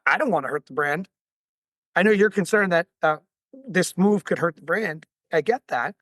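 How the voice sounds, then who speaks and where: A man with a slightly hoarse voice, speaking earnestly and explaining things as a guest in a business webinar interview. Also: fairly high pitch, a bit bright, dry recording. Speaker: guest, franchise company founder.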